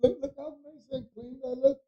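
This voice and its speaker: A hoarse man with a New York accent, co-hosting a casual chat show and playfully mixing Spanish into his English. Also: very high voice, level tone, normal speed, highs cut off. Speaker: co-host.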